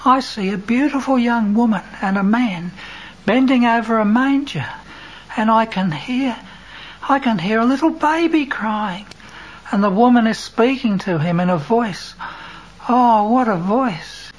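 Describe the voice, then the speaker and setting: A storyteller voicing a shy young shepherd boy who speaks in a hushed, wonder-struck way. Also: medium pitch, unhurried, voice pushed up, close to an everyday voice, low-quality recording. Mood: awed.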